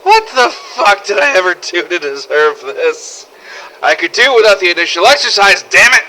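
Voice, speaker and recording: A man's voice: high voice, sing-song, moderate pace, pushed and bright, pitched above the performer's own voice, exaggerated voice, low-quality recording. A man playing a weary, sarcastic survivor of a zombie outbreak, narrating first-person audio logs in a scripted game-story style. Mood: exasperated. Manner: sardonic, exasperated, swearing.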